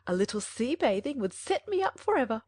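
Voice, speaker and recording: A woman's voice: on the high side, big pitch swings, a little fast, a little mellow, husky, no echo, clean studio sound, pitched above the performer's own voice. A woman voicing a fussy, self-pitying middle-aged mother in a gushing, fretful manner for an audiobook.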